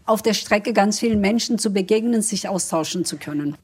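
Middle-aged woman guest from Turkey, Kurdish native, husky, earnest and upbeat. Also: mid-range voice, a little fast, darkish tone. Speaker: politician guest. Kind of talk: interview answers.

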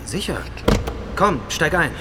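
An actor playing a shocked but loyal younger brother in an audio drama, hushed and urgent. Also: male voice, on the high side, sing-song, takes it slowly, very noisy. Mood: helpful, urgent.

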